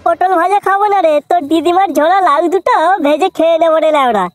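An actor voicing a brash, foul-mouthed cartoon son-in-law in a squeaky, childlike pitched-up voice. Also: fast. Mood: cocky, irritated. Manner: brash, swearing, bragging. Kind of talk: Bengali comedy cartoon dubbing. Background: playful background music.

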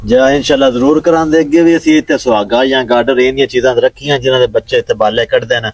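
Flat-voiced man, dry sound. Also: medium pitch, racing, dry recording. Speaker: stadium owner. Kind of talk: interview answers. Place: outdoor lawn at night.

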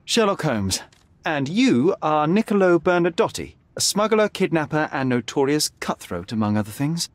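Confident young detective, lively voice. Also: male voice, fairly high pitch, normal speed, no echo. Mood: cool, cutting. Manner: articulate, formal, pointed. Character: confident, sharp young male detective, needling and unflappable. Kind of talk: dramatic video game acting.

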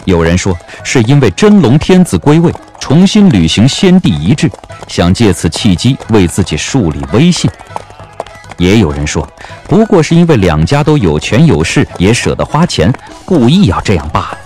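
A man narrating a novel as an audiobook, with measured, literary and dramatic phrasing. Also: fairly low pitch, sing-song, a little mellow. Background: background music.

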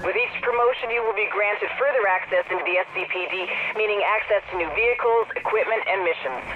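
Through a dull radio filter comes a high male voice, a police command officer in a racing game. He briefs in formal, clipped phrases with steady authority and an instructional delivery. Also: fast talker, background noise. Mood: formal, authoritative. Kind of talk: scripted briefing narration. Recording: dull, band-limited radio-style sound.

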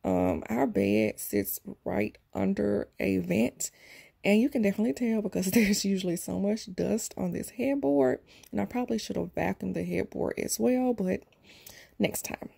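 A woman's voice: mid-range voice, animated, moderate pace, gravelly, warm tone, breathy edge. A woman in her thirties narrating a cleaning vlog in a chatty, self-deprecating voice-over. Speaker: vlogger. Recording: voice-over over background music.

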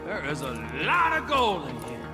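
An unhinged middle-aged North American crook for a game. The voice is high and very mobile, theatrical and sneering, with music behind it. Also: male voice, wildly swooping pitch, moderate pace. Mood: sly. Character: manic, menacing middle-aged male criminal with gleeful mockery. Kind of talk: video game cutscene acting. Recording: music and vehicle noise behind.

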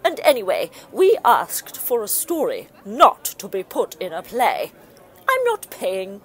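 A woman's voice: medium pitch, huge pitch swings, a little slow, a bit bright. An actress playing a selfish, indignant mother in a comic audio drama, imperious, scornful and emphatic. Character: haughty, selfish, bossy, indignant well-to-do mother.

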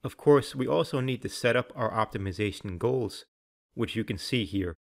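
A man reading scripted instructional narration in a measured, clearly enunciated, explanatory way. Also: mid-range voice. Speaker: software tutorial narrator.

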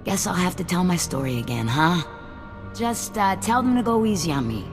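Nervy streetwise pilot, cavernous reverb. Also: female voice, fairly low pitch, very animated, moderate pace. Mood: uneasy, wry. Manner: casual, stammering, self-protective. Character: nervy, streetwise female shuttle pilot bargaining for escape. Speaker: voice actor in a video game. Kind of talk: video game cutscene dialogue. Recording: long hall reverb, faint game music.

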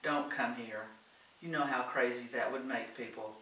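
A man's voice: mid-range voice, steady tone, normal speed, sharp tone, roomy sound, distant mic, slight background noise, lightly acted, low-quality recording. A man reading the part of a troubled, evasive son in a storybook, reluctant and placating. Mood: apologetic.

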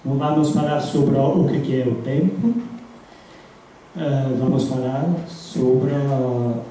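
A middle-aged man with an Italian accent gives a hesitant lecture. He speaks slowly and searches for words, in a very dark, muffled tone. The room echoes heavily around him. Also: medium pitch, fairly even intonation. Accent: foreign, Italian. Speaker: guest academic lecturer. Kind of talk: halting lecture in a non-native language. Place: large dim seminar room. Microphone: handheld microphone into room speakers, recorded by distant camera. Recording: echoey room, distant mic, background noise.

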